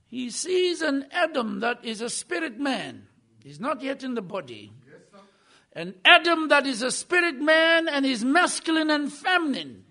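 A man preaching with a very high, bright, ringing voice. He hammers points home with sudden loud bursts, then drops into quiet asides. He is a visiting preacher at the pulpit. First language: not English. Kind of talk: sermon.

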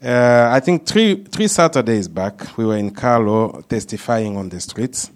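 A man's voice: medium pitch, lively intonation, a little slow. A man leading part of a church service, speaking informally with frequent "you know" and restarts as he introduces a speaker.

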